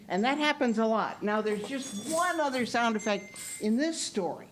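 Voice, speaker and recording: A woman performer at a live theater show, giving young volunteers simple, coaxing, step-by-step instructions. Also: a little high. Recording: live room with audience noise.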